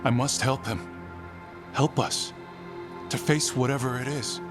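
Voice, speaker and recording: A man's voice: medium pitch, steady tone, a little slow, natural voice. Man playing a solemn, devoted elven zealot, music behind. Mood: devout. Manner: grave, formal, fervent. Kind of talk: dramatic video game voice acting.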